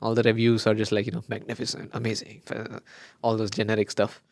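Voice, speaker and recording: A man's voice: fairly low pitch, monotone, fast, creaky, darkish tone, dry recording. A young man whose first language is Malayalam, co-hosting a podcast in casual, gushing chat that often trails off mid-sentence. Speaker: podcast co-host.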